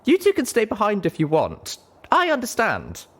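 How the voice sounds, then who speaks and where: A man's voice: high voice, wildly swooping pitch, moderate pace, a bit bright, voice pushed up, heavily acted. A man voicing an earnest, wry young female pony heroine in a storybook reading, plucky and informal. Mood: determined.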